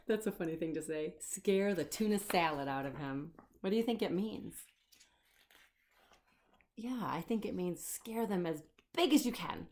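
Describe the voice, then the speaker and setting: A woman children's storyteller reading a picture book aloud, expressively, explaining and putting questions to the listener. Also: medium pitch.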